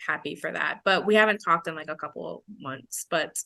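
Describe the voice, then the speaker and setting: A woman's voice: low-pitched, moderate pace, gravelly, pushed and bright. A woman in her twenties telling a personal story on a podcast in a chatty, informal way. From Belize. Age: 20s. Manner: chatty, informal, acts out remembered dialogue. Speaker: podcast co-host.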